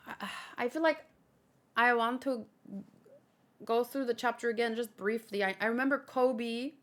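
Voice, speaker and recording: Higher-pitched woman chatting casually. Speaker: host. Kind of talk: casual chat about manga.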